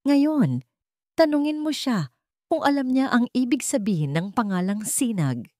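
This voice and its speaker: A woman voicing a betrayed wife in an audio drama story, composed and bitter, giving firm, deliberate instructions. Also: on the high side, sing-song, darkish tone, dry recording, studio quality, voice pushed up. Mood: cold, commanding.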